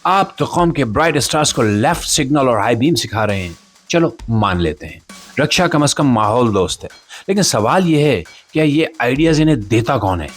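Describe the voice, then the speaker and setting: Pakistani guy hosting satire news, sarcastic n theatrical, super lively pitch swings, bit of music behind. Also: moderate pace. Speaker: host of a satirical news show. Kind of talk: satirical monologue to the audience.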